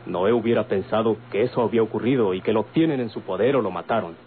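Tinny radionovela, male actor as cautious Mexican adviser, lowish voice, composed. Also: quick pace, close to an everyday voice. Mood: cautious, explaining. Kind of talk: old-time radionovela acting. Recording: tinny, hissy old radio recording.

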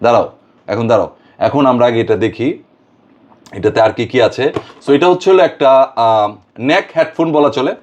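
A man's voice: medium pitch, normal speed, pauses often, darkish tone, highs cut off, slight background noise. A Bangladeshi man hosting a casual product demonstration, mixing English words and phrases into his talk. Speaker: product reviewer and video host.

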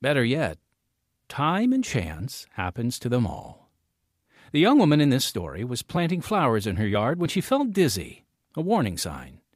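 A man's voice: medium pitch, wildly swooping pitch, normal speed, no echo, clean studio sound. An American man announcing a radio program with scripted dramatic narration and theatrical, emphatic phrasing. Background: music.

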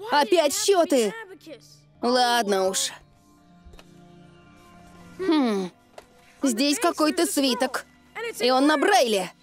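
A woman's voice: high voice, very animated, extremely slow, pauses often, soft background music, full character voice. A woman voicing a grumbling but game young schoolchild detective in a cartoon dub. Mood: focused.

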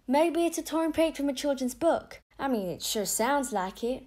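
A woman's voice: high voice, animated, normal speed, darkish tone. A woman playing a chatty, confident society reporter in an audio play, with a Southern American accent. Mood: breezy.

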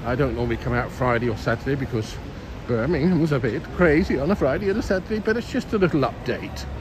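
Clear street and traffic noise surrounds an older man talking outdoors. He has a mid-pitched voice and chats casually to his viewers, rambling along as he walks through a busy city. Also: expressive, fast talker. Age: older, late sixties or above. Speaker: live-streaming city walk vlogger. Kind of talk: casual rambling chat to viewers while walking. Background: urban street ambience, vehicles.

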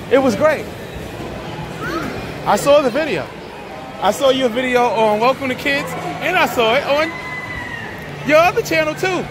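A woman chatting casually and encouraging kids excitedly as she films a family outing on a phone. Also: high-pitched. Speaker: vlogger filming a family outing. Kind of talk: casual chat and cheering. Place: large busy indoor shopping mall. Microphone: handheld phone, behind the camera. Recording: noisy crowded indoor mall, phone audio. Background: crowd chatter and ride noise.

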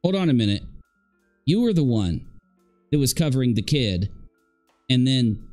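A man's voice: mid-range voice, sing-song, moderate pace, warm tone, soft background music. A man in his thirties giving casual, sarcastic and emphatic live commentary as a stream host. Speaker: livestream host. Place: indoor room at home.

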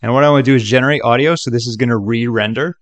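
A man talks through a software tutorial in a casual, chatty way. His voice sits at a middling pitch, and the sound is close and dry. He keeps an upbeat tone as he explains each step. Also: rapid-fire, no echo. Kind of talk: casual screen-recording walkthrough.

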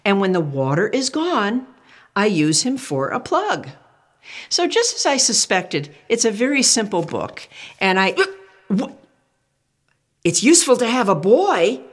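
Lively older woman reading to kids. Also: medium pitch, a little slow, pauses often. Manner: animated, exclamatory storyteller who asks the child questions. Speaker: children's book reader. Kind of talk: reading a picture book aloud to children.

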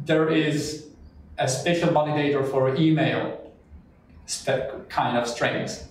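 A man's voice: a little high, very echoey, recorded from a distance, a little hiss or hum, low-quality recording. A man from Italy with an Italian accent, walking through a live coding demo and chatting as he thinks aloud while typing. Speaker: cloud developer presenter.

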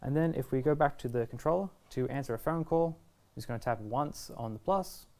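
A man's voice: medium pitch, a little fast, darkish tone. A man in his twenties presenting a casual step-by-step product tutorial in a reassuring, easygoing way. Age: 20s. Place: indoor shop video studio, standing at a table.

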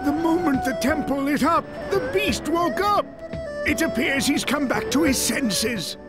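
A polite, grateful old villager in a video game. The voice is high-pitched, and loud music plays behind it. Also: animated, measured pace. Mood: grateful, solemn. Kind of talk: animated video game acting.

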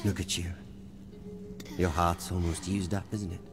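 An actor playing a cool, self-assured wizard in a radio drama, composed and knowing. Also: male voice, low-pitched, little pitch movement, moderate pace. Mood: knowing, grave. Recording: music under the dialogue.

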